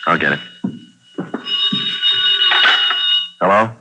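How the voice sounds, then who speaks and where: A man's voice: deep voice, very fast, sharp tone, heavily acted, poor audio. An American actor playing a terse police detective, narrating his case in a clipped, matter-of-fact 1940s radio drama style. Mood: businesslike.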